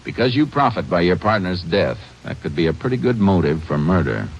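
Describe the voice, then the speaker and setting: Deep-voiced Texas Ranger. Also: male voice, sing-song, brisk, gravelly, loud music behind the voice, heavily acted. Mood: firm. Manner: terse, matter-of-fact. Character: calm, shrewd Texas Ranger narrating his case. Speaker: actor and narrator in a radio crime drama. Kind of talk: old-time radio acting and narration. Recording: dull vintage radio recording.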